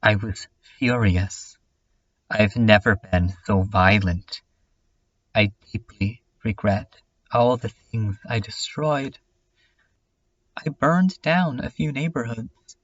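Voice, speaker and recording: A man playing a lonely, despairing last survivor, narrating a bleak diary in a halting, confessional way. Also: lowish voice, muffled recording. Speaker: narrator of a horror comedy fan dub. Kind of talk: slow first-person scripted narration.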